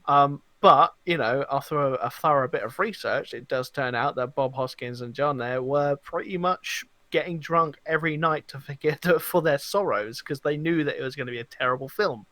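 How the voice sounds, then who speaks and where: A man in a casual podcast chat, talking in a jokey, slightly halting way, close on the microphone. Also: on the high side, bright, forceful tone, no echo. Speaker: podcast co-host. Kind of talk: casual chat about films and games.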